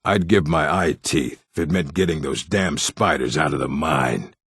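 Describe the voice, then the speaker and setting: A man voicing a gruff, proud orc in a fantasy video game, speaking bluntly and sternly in a rough, gravelly voice. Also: very deep voice, normal speed, fairly bright tone, no room sound, clean studio sound, heavily acted. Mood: gruff, curt. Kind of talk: video game character voice lines.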